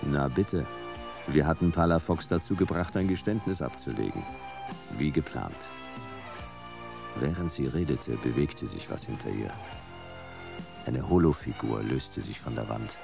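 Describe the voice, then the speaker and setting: An actor playing a laconic hard-boiled private detective, narrating a German radio drama in dry, deadpan, clipped sentences. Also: male voice, a bass voice, unhurried, gravelly, long pauses, full character voice. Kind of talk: noir first-person narration in a radio drama. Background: music.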